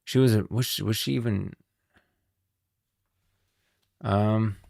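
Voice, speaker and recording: A man's voice: fairly low pitch, fairly even intonation, takes it slowly, creaky, darkish tone, no echo, studio quality. A man streaming casual game lore commentary, rambling and thinking aloud as he goes, often repeating himself. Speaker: streamer, host.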